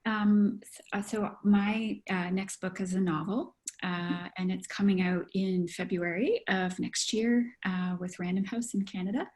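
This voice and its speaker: A woman author speaking casually, with halting phrasing, about her work on a video call. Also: mid-range voice, fairly even intonation, a little mellow. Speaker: author on a panel.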